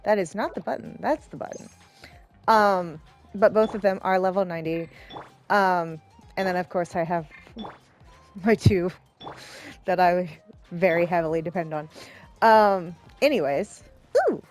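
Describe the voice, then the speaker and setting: A woman's voice: lowish voice, a little slow, long pauses, faint music behind. A woman streamer giving casual let's play commentary in a rambling, hesitant, self-deprecating way. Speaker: game streamer, host.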